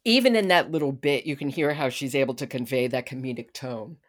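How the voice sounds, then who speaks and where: A woman's voice: a bass voice, a little fast. A woman hosting a podcast in a conversational interview style. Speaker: podcast host.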